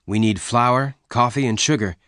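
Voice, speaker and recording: A man voicing a strong young cowboy in an audiobook, gentle and devoted, speaking in a measured, earnest way. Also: medium pitch, no echo, studio quality, pitched above the performer's own voice, close to an everyday voice. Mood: serious, earnest.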